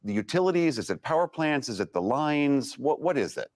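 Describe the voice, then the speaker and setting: A man's voice: medium pitch, sing-song, brisk, no echo, clean studio sound. A man conducting an explanatory news interview, inquisitive and given to stacking short questions.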